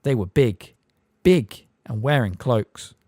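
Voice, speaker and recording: A man's voice: mid-range voice, lively intonation, slow, mellow, dry recording, clean studio sound. A man reading an audiobook voices a nervous, frail old woman giving testimony, quivering and gabbling. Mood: flustered. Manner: gabbling, flustered. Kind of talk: audiobook character voice.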